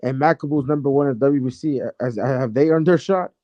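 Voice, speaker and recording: A man's voice: medium pitch, fairly quick, no room sound, lo-fi sound. A man arguing casually and insistently in slangy debate as a caller, talking over the other speaker.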